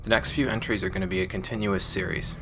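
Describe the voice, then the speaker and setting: A man playing a reflective former gang member, recording a measured, explanatory audio diary over music. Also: low-pitched, steady tone, pushed and bright. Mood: measured reflection. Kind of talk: scripted in-character audio diary narration. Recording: music bed under the voice.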